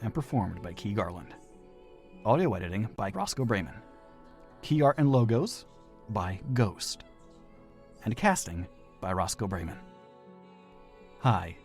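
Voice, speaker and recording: A man delivers a scripted outro and credits over music. His voice is fairly low, with strongly animated pitch movement, like a producer addressing the audience. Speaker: writer and producer announcing credits. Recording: music under the voice.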